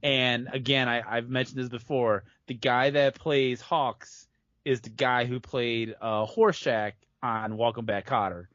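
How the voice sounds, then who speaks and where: A man's voice: mid-range voice, steady tone, a little slow, a bit bright, no room sound. A man chatting casually as a podcast guest, with an apologetic, self-deprecating manner. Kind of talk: casual chat. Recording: dull, lo-fi, very dry.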